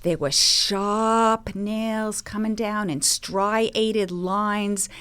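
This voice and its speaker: A woman's voice: medium pitch, steady tone, very slow, fairly bright tone, clean tone. A woman playing a self-important, skeptical amateur scientist and reporter in an improvised audio drama, emphatic and argumentative. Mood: exasperated, smug.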